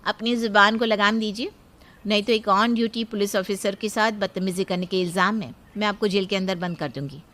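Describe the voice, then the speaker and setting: A woman's voice: mid-range voice, fairly even intonation, moderate pace, a bit bright, lightly acted. A woman voicing a strict, principled young policewoman daughter-in-law in a cartoon story, lecturing firmly and giving orders. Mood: stern. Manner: firm, commanding, lecturing. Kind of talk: cartoon moral story acting.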